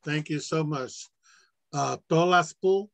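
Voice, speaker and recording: A man's voice: fairly high pitch, takes it slowly, vocal fry, a bit bright, no echo. A man hosting a group meeting on a video call, introducing members one by one in an informal way. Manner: informal, with fillers. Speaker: meeting host. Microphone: computer mic on a video call.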